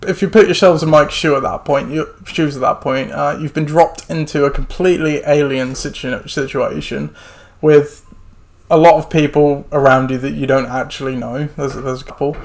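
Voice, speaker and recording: A man hosting a sports podcast in casual chat, rambling with many fillers and restarts, a little hoarse. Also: a little high, fairly even intonation, normal speed. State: hoarse, losing his voice. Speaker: podcast host.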